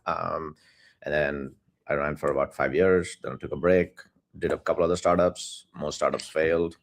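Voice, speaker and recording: This is a man, a company founder talking as an interview guest. His voice sits very low with a gravelly edge. He chats easily, laughs often and doubles up his words as he goes. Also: steady tone, moderate pace. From India. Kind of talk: casual video-call interview.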